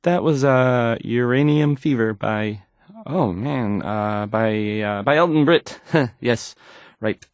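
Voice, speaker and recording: A man's voice: mid-range voice, slow pace, dry recording, clean studio sound, lightly acted. Man acting a stammering, nervous, awkward radio host. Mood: awkward, unsure. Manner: stammering, hesitant, nervous, trailing off. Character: timid, awkward young male radio host, anxious and insecure.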